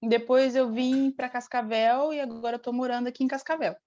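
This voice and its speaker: A Brazilian woman chatting casually as an interview guest, telling stories in an informal, animated way. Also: fairly high pitch, fairly quick, muffled recording. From Brazil, born in Rio de Janeiro state, raised in Paraná from age eight. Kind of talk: casual chat, storytelling.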